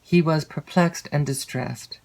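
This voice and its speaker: A woman reading literary fiction as an audiobook narrator, in a measured, expressive storytelling manner. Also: low voice. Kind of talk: scripted literary narration of a classic novel.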